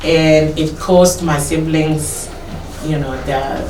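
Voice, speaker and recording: A woman's voice: low-pitched, fairly even intonation, takes it slowly, darkish tone. A Kenyan woman with a Kenyan English accent, probably in her thirties, telling her life story in a casual interview, rambling and correcting herself as she goes. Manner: rambling, self-correcting, laughs while telling. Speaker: interview guest. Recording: echoey, distant mic with background noise.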